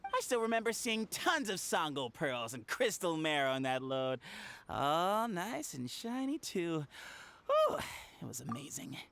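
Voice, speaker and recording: A man's voice: a little high, big pitch swings, measured pace, vocal fry, a bit bright, heavily acted. An actor voicing a nervous, boastful petty thief in a video game, stammering and pleading as he babbles excuses. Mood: boastful, excitable.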